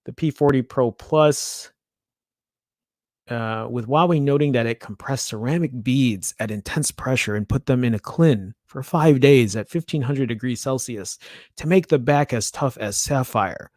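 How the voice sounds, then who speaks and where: A man's voice: mid-range voice, moderate pace, a little mellow, no room sound, studio quality. A man with a Chicago accent hosting a casual tech news show, reading an article aloud and breaking off into chatty asides and little stumbles.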